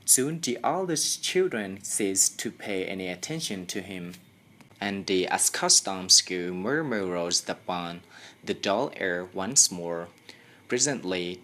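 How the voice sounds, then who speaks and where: A young Thai-accented student reads a story aloud at a slow pace. The voice sits low, and the delivery is careful and a little halting, in the manner of an amateur audiobook. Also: lively intonation, a bit bright. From Thailand. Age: young adult. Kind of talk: scripted story narration.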